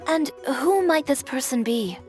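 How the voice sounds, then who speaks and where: A woman's voice: high-pitched, animated, unhurried, a little mellow, faint music behind. A woman playing a self-assured young fashion designer in a video game, composed, dry and blunt. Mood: stern. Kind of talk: video game character acting.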